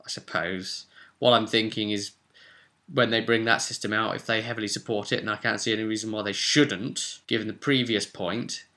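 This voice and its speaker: A man's voice: lowish voice, fairly even intonation, normal speed, pushed and bright. A man talking informally in a casual gaming vlog, thinking aloud as a tabletop game master in a conversational way.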